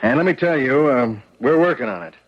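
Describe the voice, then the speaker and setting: A man's voice: medium pitch, animated, a little fast, natural voice. A man playing a brusque police lieutenant. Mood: placating. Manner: brusque, offhand, with many uhs. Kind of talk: 1970s radio drama acting. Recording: dull lo-fi 1970s radio recording.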